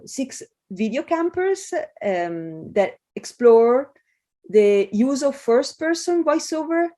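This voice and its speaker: A woman from Italy with an Italian accent, a scholar answering interview questions in a thoughtful way with frequent pauses and fillers. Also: a little high, dark tone. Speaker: guest scholar.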